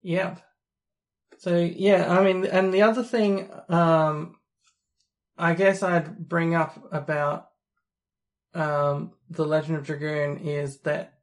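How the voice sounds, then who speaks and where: A gravelly, creaky speaker with a posh Australian accent that can pass for British. The delivery stays flat and even, rambling and hesitant with lots of ums and restarts. Casual podcast chat. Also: medium pitch, slow pace, long pauses. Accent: posh-sounding Australian, sometimes taken for British. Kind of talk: casual chat about a video game.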